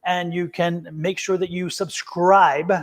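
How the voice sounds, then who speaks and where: A man's voice: high voice, flat delivery, slow. A man hosting a live stream, chatting in a friendly, jokey way and laughing often. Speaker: tarot reader host. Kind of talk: casual live-stream chat.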